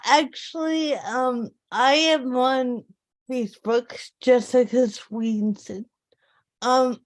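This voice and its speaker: A woman writer giving a casual self-introduction, halting and repeating her words as she goes. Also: a little high, a little mellow. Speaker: writer, table read participant.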